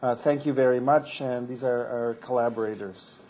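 A man's voice: medium pitch, fairly even intonation, normal speed, noisy background. A man presenting medical research findings at a conference and answering audience questions, explaining with frequent restarts and fillers. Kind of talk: academic conference talk with Q&A.

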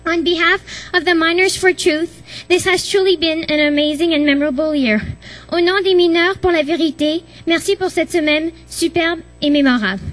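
A woman's voice: very high-pitched, fairly even intonation, brisk, fairly bright tone, soft background music. A woman giving a short, prepared thank-you speech as a group presenter.

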